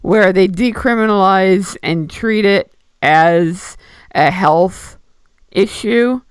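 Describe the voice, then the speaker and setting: A man with a very clear, smooth voice takes his time as he speaks in a radio interview. He is tentative and thoughtful, restarting his phrases as he goes. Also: sits high, slow, dry recording, clean studio sound. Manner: hesitant, apologetic, self-correcting. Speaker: interviewer chiming in on a radio show.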